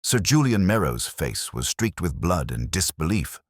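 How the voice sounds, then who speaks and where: A man narrating a dramatic mystery story in a measured, literary manner. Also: sits low, sing-song, no echo, clean studio sound. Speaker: audiobook narrator.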